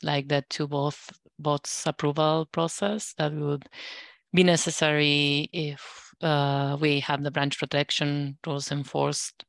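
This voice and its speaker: A woman's voice: low-pitched, creaky, a bit bright, smooth, no echo, studio quality. A woman giving a spoken status report to colleagues as a working group lead on an online call.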